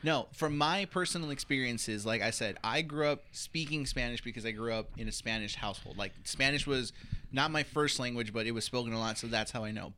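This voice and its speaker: Bright chatty male podcast storyteller. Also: medium pitch, slight background noise. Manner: chatty storyteller, uses fillers. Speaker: podcast co-host. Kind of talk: casual group chat.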